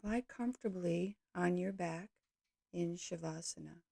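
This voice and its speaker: A woman leading a guided yoga nidra meditation, speaking calmly and gently in slow, measured short phrases. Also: lowish voice, creaky, dry recording, studio quality.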